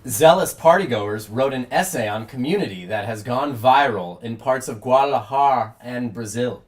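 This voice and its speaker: A man's voice: mid-range voice, lively intonation, moderate pace. A man hosting a late-night comedy talk show, reading mock news in a dry, mock-serious way and interviewing a guest.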